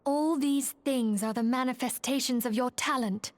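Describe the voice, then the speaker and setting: A woman playing a poised, gracious leader of a secret order in a fantasy game, speaking in calm, formal, measured phrases. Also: high voice, no room sound, natural voice. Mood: solemn, explaining. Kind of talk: scripted fantasy game acting.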